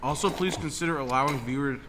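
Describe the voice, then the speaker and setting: A man's voice: a little high, fast, a bit bright, slight background noise, rough recording. A man co-hosting a livestream, laid-back, reading out viewer donations and messages. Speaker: co-host reading super chats. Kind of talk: casual livestream banter.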